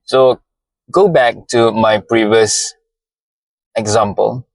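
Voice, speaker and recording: A man with a Malaysian accent, a native Malay speaker, giving a slow, deliberate motivational talk and often repeating phrases. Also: on the low side, gravelly, dry recording, rough recording. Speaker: podcast host.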